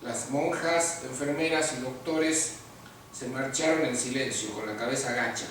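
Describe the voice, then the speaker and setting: Argentine man, writer being interviewed, thoughtful and unhurried, far from the mic in a noisy, very echoey hall. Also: mid-range voice, a bit bright. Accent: Argentine Spanish. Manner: measured and thoughtful, pausing with fillers. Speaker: literature teacher and award-winning writer. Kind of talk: interview answers, then reading his story aloud. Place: large room at an award ceremony.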